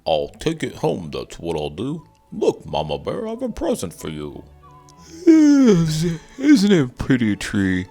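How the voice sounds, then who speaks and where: A drowsy, kindly father bear from a children's storybook, voiced by a man. He sounds hearty and gravelly, with lively rises and falls. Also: deep voice, moderate pace, hardly any pauses, faint music behind, full character voice.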